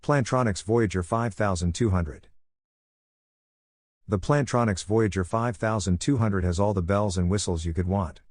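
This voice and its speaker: A man's voice: fairly low pitch, moderate pace, a little mellow, no room sound, studio quality. A man reading a scripted product review as a narrator.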